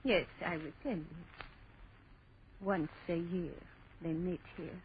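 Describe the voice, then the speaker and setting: An actress playing a mysterious old woman, speaking slowly and gravely in a 1940s radio horror drama. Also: lowish voice, steady tone. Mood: ominous, weary. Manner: slow, foreboding, riddling. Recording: noisy dull old radio recording.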